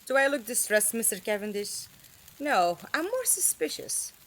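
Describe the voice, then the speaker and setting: A woman as a high-voiced, inquisitive young traveller. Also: sing-song, normal speed, fairly bright tone. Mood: suspicious, determined. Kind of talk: audio drama acting.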